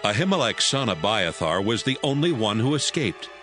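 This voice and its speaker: A man's voice: on the low side, big pitch swings, moderate pace, music in the background. A man giving scripted narration of a Bible story as the narrator.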